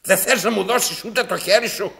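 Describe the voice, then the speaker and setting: An actor playing a domineering, self-pitying old blind man in a radio drama, raspy and gravelly, imperious and dramatic, repeating his phrases. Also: high-pitched, bright, forceful tone, heavily acted. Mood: weary, brooding. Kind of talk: theatrical radio drama acting.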